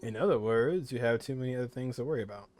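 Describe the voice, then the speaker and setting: A man's voice: medium pitch, quick pace, a little mellow, faint music behind. A man giving casual playthrough commentary on a game in a wry, offhand way. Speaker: game streamer. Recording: faint background noise.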